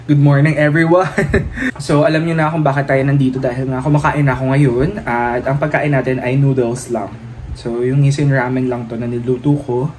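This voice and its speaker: A man's voice: medium pitch, normal speed, a little hiss or hum. A man in his thirties with a Filipino accent, chatting casually and animatedly to a phone camera at arm's length in a small apartment room. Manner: chatty, animated, laughing asides, mixing English into Filipino. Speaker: vlogger. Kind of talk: casual daily vlog chat.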